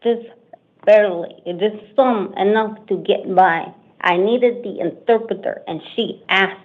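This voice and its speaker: A woman from Arizona, a defendant in a court hearing, who talks haltingly and stumbles over her words. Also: mid-range voice. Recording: tinny court livestream audio.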